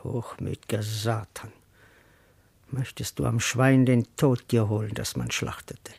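An actor playing a grumbling, cowardly, hungry manservant in a classical verse radio drama, with theatrical delivery. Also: male voice, mid-range voice, fairly even intonation, measured pace, creaky, darkish tone, husky, full character voice. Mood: bitter grumbling. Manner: theatrical, muttering then exclaiming.

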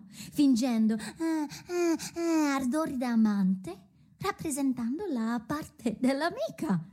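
An actress as a husky, melancholy cabaret woman. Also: on the high side. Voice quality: soft, husky. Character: weary, proud, melancholy female cabaret singer and prostitute. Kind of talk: dramatic radio theatre acting.

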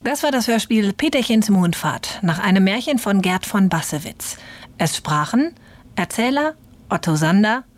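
Female radio credits announcer, medium register, deliberate scripted list reading. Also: mid-range voice.

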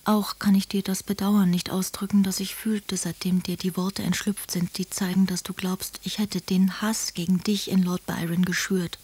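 Frail, breathy, solemn older woman. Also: lowish voice, little pitch movement. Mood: solemn, earnest. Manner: hushed, breathy, measured and earnest. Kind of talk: German radio drama, letters read in character.

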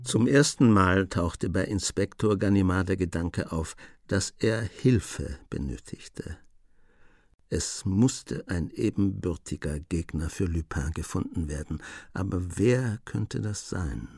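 A man playing the composed narrator of a detective radio play, telling the story in measured, scripted phrases. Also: deep voice, lively intonation, creaky, darkish tone, dry recording, clean studio sound, exaggerated voice. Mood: musing. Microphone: close studio microphone.

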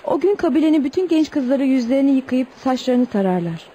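A woman's voice: high voice, lively intonation, brisk, mellow, a little hiss or hum. An actress playing a sorrowful young Eskimo woman in a radio play, with plaintive, emotive delivery. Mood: wistful, regretful. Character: sorrowful twenty-year-old Eskimo woman, pleading and recounting lost love. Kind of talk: radio theatre acting.

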